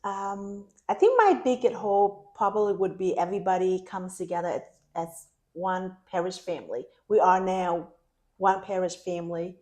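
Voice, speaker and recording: A woman from Vietnam, a parish council member speaking as an interview guest, earnest and halting. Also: lowish voice, warm tone. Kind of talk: casual interview.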